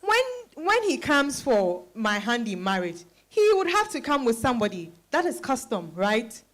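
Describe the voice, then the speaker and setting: A woman's voice: on the high side, huge pitch swings, a little slow. A woman playing an earnest, defensive younger woman in a dramatized reading, reasoning insistently. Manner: insistent, reasoning, emphatic repetition. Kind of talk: dramatized stage-style reading.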